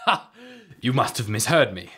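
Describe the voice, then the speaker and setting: Husky, pompous mantis-man cult leader played by a man. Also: medium pitch, animated, normal speed, bright, forceful tone, heavily acted. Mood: flustered. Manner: formal, pompous, defensive. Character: mantis-man leader, wealthy scholar of ancient magic, evasive.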